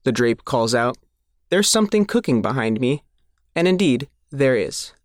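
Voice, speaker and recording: A man's voice: medium pitch, sing-song, moderate pace, no echo, clean studio sound. A man reading a scripted newspaper feature aloud as the reporter. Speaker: reporter reading their own article.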